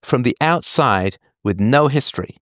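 A man's voice: medium pitch, expressive, moderate pace, fairly bright tone, no room sound, clean studio sound. A man in the role of an advice host, talking conversationally and explaining emphatically with analogies, often checking that the listener follows. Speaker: call-in show host giving advice.

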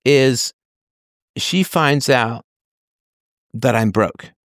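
A man's voice: mid-range voice, drawn-out words, a bit bright, no echo, clean studio sound. A man in the role of an advice host, talking conversationally and explaining emphatically with analogies, often checking that the listener follows. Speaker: call-in show host giving advice.

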